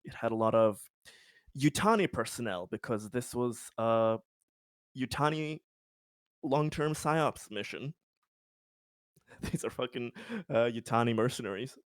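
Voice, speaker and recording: A man's voice: medium pitch, unhurried, long pauses, dry recording, clean studio sound. A man playing a tabletop RPG, casually recapping his character's schemes in a rambling, sweary, self-amused way.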